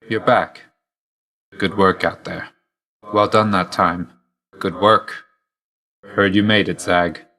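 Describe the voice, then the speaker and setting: A deep-voiced god of death for a video game. He is dutiful and reserved, with a measured, formal delivery. His manner stays understated, weary yet quietly fond. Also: heavily acted. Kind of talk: video game character voice lines.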